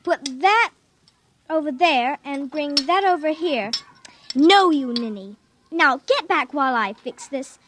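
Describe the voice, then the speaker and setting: A woman's voice: high voice, lively intonation, slow, noisy background. A woman playing an eager, bossy, impatient little girl in a 1950s radio drama. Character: bossy, excited little girl plotting an invasion game.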